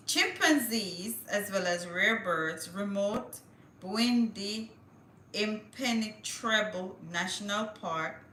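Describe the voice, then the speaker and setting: A woman's voice: medium pitch, pushed and bright, echoey room, slight background noise. A woman hosting a casual livestream, chatting to viewers and reading news aloud with small stumbles. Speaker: livestream host.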